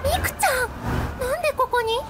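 Earnest, extremely high-voiced schoolgirl. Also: brisk, over loud music. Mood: startled. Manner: earnest, sincere. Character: earnest, put-upon teenage schoolgirl club captain. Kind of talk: Japanese radio drama acting and monologue.

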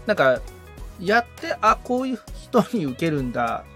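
A man's voice: sits high, sing-song, normal speed, faint music behind. A man talking as the assistant and learner, tentatively thinking aloud as he asks questions. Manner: tentative, thinking aloud, frequent soft agreement. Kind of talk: casual, hesitant questioning and listening.

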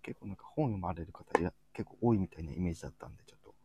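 A man's voice: low-pitched, very fast, warm tone, dull audio, slight background noise. A man co-hosting a casual livestream, introducing topics in a polite, hesitant way. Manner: polite, hesitant with frequent fillers. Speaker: co-host of a group chat livestream.

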